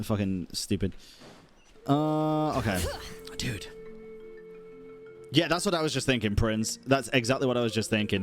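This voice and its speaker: A man streaming live game reaction commentary, blunt and sweary. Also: on the low side.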